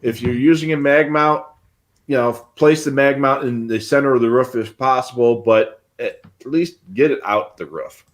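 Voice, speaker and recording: A middle-aged American man talks at an average pitch for a male voice. He explains practical things casually, in a folksy, conversational manner, like a co-host on a hobby livestream. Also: normal speed. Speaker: co-host of a radio scanner show. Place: indoor home radio room on a video call.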